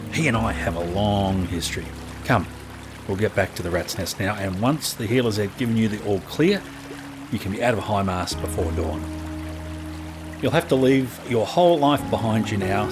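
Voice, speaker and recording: A man acting a protective, seasoned gang leader with an earnest, reassuring manner in a fantasy audio drama. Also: fairly low pitch.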